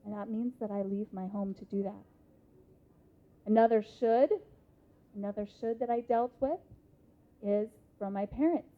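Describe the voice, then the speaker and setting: A woman in her thirties with an American accent gives an interactive motivational talk in a conference hall, speaking emphatically with deliberate pauses. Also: mid-range voice, steady tone. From Idaho, United States. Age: 30s. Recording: muffled lo-fi live hall recording.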